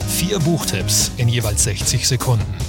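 A man's voice: mid-range voice, expressive, moderate pace. A man reading a scripted podcast introduction as its announcer. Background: loud guitar music.

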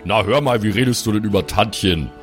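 Ein Mann spielt einen hungrigen, pflichtbewussten Zwergensohn, der liebevoll und beschwichtigend mit seiner Familie redet. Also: male voice, on the low side, fairly quick, faint music behind, lightly acted. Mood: amused.

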